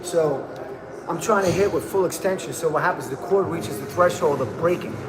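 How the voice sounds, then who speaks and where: High-voiced hyped male streamer, echoey room. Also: moderate pace, noisy background. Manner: hyped, slangy, calls viewers bro and man. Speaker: livestream host. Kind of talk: casual livestream chat. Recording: echoey room with music behind.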